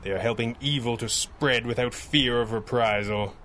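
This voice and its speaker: A man acts out a masked male crime-fighter from a 1930s-style radio drama. He is stern and watchful, delivering measured, formal lines in a mid-pitched voice. There is faint background noise. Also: steady tone, fairly bright tone, lightly acted. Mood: grave, determined. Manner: measured, formal, grave speech. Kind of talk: 1930s radio adventure serial acting.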